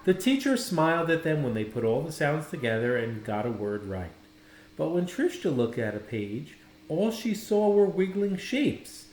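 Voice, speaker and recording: A man reading a children's picture book aloud with expressive storytelling, recorded in an echoey room. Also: fairly high pitch, moderate pace, a little hiss or hum.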